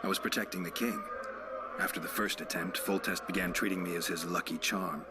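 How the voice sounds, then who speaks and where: An actor playing a world-weary monster hunter, terse and deadpan, in a video game cutscene. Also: male voice, low-pitched, a little fast, background noise, close to an everyday voice. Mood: matter-of-fact.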